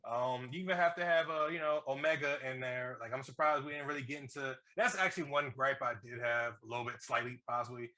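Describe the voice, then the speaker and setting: A man with a bright, punchy voice at an ordinary male pitch co-hosts a movie discussion. He talks in an excitable, rambling way, doubling back on his phrases and tossing in slang and swearing. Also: quick pace, few pauses. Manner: excitable, rambling, repeats himself, swears freely. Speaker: podcast co-host reviewing a movie. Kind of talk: casual, slangy movie chat.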